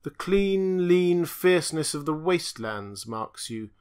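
A man's voice: fairly high pitch, animated, unhurried, a little mellow, clean tone, pitched above the performer's own voice. A man voicing an ancient, blind, tortured alien being that speaks in a raised, mournful, chanting way. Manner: lamenting, chanting, raised and pleading.

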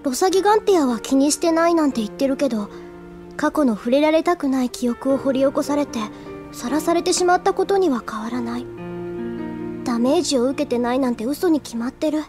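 A woman voices a devoted, shy teenage schoolgirl in an anime audio drama. Her high voice is earnest and reflective, with a hesitant sincerity, over clearly audible music. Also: fairly quick, natural voice. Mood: concerned. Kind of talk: Japanese anime audio drama acting with inner monologue.

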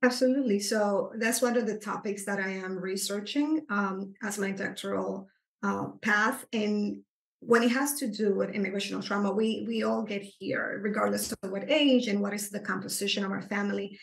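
Woman, gravelly voice, roomy echo, earnest explainer. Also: mid-range voice, moderate pace. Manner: earnest, explanatory, animated when stressing points. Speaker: psychotherapist guest. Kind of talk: conversational interview. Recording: echoey room.

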